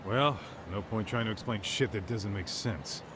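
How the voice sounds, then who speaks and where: An actor voicing a blunt, gruff middle-aged male detective in a video game. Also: on the low side, moderate pace, background noise, close to an everyday voice. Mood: wry. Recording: game audio with music behind.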